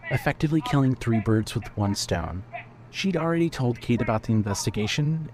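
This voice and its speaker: A man narrating scripted fiction as a storyteller, in a measured manner. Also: mid-range voice, a little mellow.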